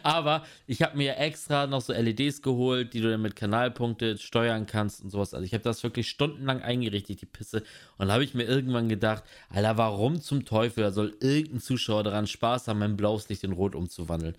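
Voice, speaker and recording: A man's voice: lowish voice, a little fast. A young man co-hosting a podcast, talking bluntly in casual chat and swearing a lot. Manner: blunt, swears a lot, fond of a dismissive rant. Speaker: podcast co-host.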